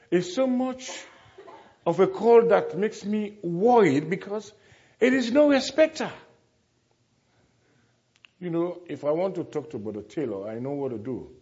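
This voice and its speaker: A man's voice: mid-range voice, mellow, dull audio. A man preaching a sermon to a congregation, speaking emphatically, repeating phrases and putting rhetorical questions to his listeners.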